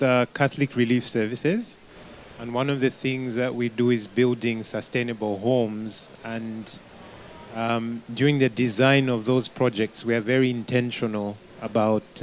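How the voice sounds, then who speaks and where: A man with a Zambian accent puts a question from the audience at a conference panel. He talks slowly and deliberately, pausing as he goes, with light room noise around him. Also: medium pitch. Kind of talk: impromptu question at a panel event. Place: conference pavilion with small audience. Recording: audible room noise at event.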